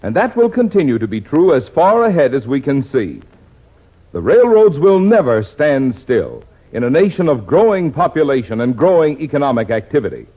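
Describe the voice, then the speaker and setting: Animated male announcer, tinny old radio. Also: mid-range voice, normal speed. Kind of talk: scripted 1950s radio announcing. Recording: tinny, narrow 1950s radio broadcast.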